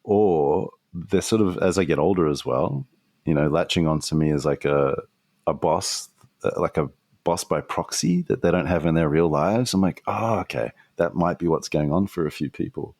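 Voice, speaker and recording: A podcaster man with a deep voice, sitting low at the very bottom of the male range. He muses aloud in a relaxed, wandering way, trailing off and restarting. The sound is close and completely dry. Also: moderate pace, dry recording. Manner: thoughtful and rambling, many fillers and restarts. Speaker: podcast guest, podcaster and former radio host. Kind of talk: casual reflective conversation.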